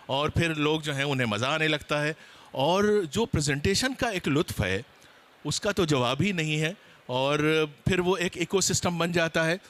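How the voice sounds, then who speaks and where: A man's voice: a little high, fairly bright tone. A man giving an explanatory talk as a panelist at a seminar, checking that listeners follow.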